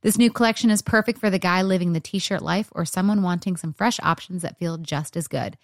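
A woman's voice: fairly low pitch, fairly quick, no echo, clean studio sound. A woman announcer giving an upbeat, polished scripted ad read.

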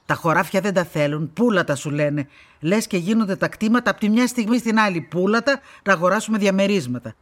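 A woman's voice: medium pitch, animated, natural voice. An older woman playing a stern, proud grandmother in a radio play, speaking in an emphatic, brusque and opinionated way. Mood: scornful. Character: stern, proud old grandmother who dotes on her granddaughter.

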